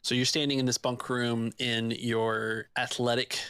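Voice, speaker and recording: A man's voice: medium pitch, flat delivery, measured pace, fairly bright tone, clean tone, no room sound. A man speaking as a game master, casually describing scenes for a tabletop game in a conversational way.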